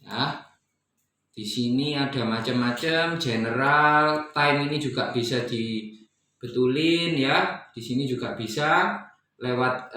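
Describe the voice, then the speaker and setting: An Indonesian man gives a casual, step-by-step installer's tutorial in a bright, forward voice. He sounds some way from the microphone in a very echoey room, explaining each step and checking in as he goes. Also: medium pitch. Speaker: installer demonstrating a product setup. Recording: echoey room, distant mic, faint noise.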